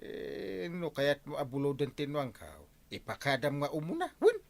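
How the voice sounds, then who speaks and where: An actor playing an excitable village captain in an Ilocano-accented radio drama, scolding and reproachful in manner. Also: male voice, on the high side, moderate pace, creaky, no room sound, exaggerated voice. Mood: relenting. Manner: animated, reproachful, swooping delivery. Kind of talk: Philippine radio drama acting.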